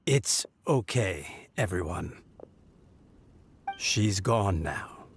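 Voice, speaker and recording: A man playing a kindly old grandfather in a game cutscene, gravelly and soothing. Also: low-pitched, expressive, a little hiss or hum, exaggerated voice. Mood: calm, bittersweet. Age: older. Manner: slow, soothing. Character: kindly, tired old grandfather, calm and comforting. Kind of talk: dramatic game cutscene acting.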